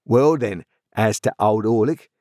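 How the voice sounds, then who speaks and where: A man voicing a sullen, insolent journeyman in his twenties, growling gruffly in rustic working-class speech for a storybook. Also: lowish voice, unhurried, darkish tone, dry recording, studio quality. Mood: aggrieved. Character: surly sullen journeyman blacksmith, about twenty-five, resentful and insolent.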